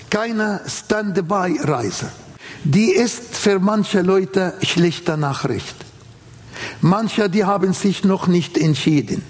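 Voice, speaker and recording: A man's voice: high-pitched, long reverb tail. A man with an Arabic accent preaching an earnest sermon in a church setting, quoting scripture with emphasis.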